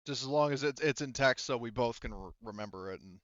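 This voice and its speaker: A man acting as game master in a tabletop roleplaying session, narrating and explaining rules in a halting, circling way with many hedging asides. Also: medium pitch, fairly bright tone, no room sound, highs cut off.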